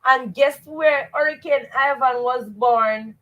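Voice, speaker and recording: A woman with a Jamaican accent and a high voice leads a live reading lesson. She speaks slowly and emphatically, prompting listeners with questions as a teacher would. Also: phone-quality sound. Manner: expressive, emphatic, repeats rhetorical questions and stock phrases. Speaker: teacher and host of a live read-aloud show.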